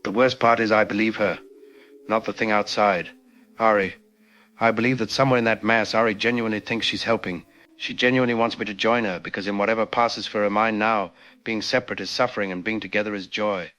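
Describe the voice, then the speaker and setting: A man playing a grieving scientist recording a desperate, halting confessional log in an audio drama. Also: on the low side, steady tone, fairly bright tone, close to an everyday voice. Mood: desperate longing. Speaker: doctor and researcher.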